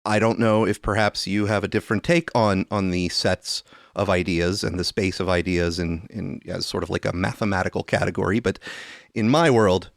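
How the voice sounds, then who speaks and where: A man's voice: low-pitched, fairly even intonation, normal speed, vocal fry, dry recording. A man hosting a podcast, giving casual political commentary in a dry, mock-earnest, pedantic way. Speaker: podcast host.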